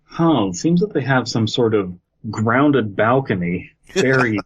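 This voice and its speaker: A man's voice: mid-range voice, animated, unhurried, a little hiss or hum. A man co-hosting a podcast, reading a story aloud with wry, joking asides. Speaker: podcast co-host. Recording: slightly dull, lo-fi audio.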